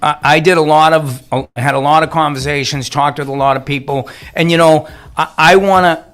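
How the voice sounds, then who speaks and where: A man's voice: on the high side, fairly even intonation, brisk, fairly bright tone. A middle-aged man with a Boston accent, a city council candidate answering questions emphatically on a radio show, often repeating words as he searches for them. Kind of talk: radio interview.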